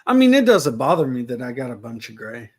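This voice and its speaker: A man's voice: mid-range voice, very animated, fairly quick, crowd noise. A man in his forties chatting casually, easygoing, with frequent cursing and laughter. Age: 40s. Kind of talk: casual chat.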